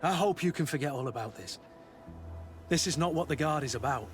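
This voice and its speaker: Game role: loyal, plain-spoken mercenary veteran, male actor, fairly high male voice, earnest delivery over background music. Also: expressive, fairly quick, lightly acted. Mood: resolute, weary. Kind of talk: video game dialogue acting.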